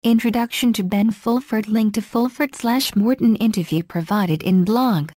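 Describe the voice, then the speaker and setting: A woman reading a blog article aloud in a steady, even manner. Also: a little high, normal speed, dry recording, clean studio sound.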